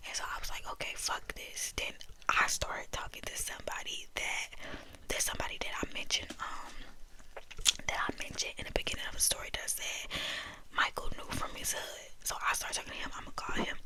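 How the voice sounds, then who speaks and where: A young woman whispering a candid, profane personal story about her school days. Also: sharp tone.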